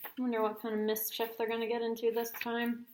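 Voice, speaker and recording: A woman's voice: on the high side, monotone, fast talker, darkish tone, a little hiss or hum. A woman narrating a children's story aloud, as a teacher reading to pupils.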